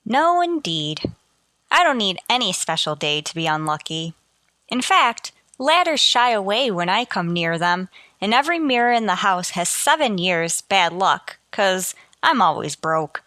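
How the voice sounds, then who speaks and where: A woman acts a wry, self-deprecating female teacher in a radio sitcom. Her voice is bright and crisp-edged, swinging widely in pitch as she delivers dry wisecracks. The sound is dry, free of room echo. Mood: wry, rueful. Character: wry, unlucky, sardonic female high school teacher. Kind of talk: scripted radio sitcom acting with first-person narration.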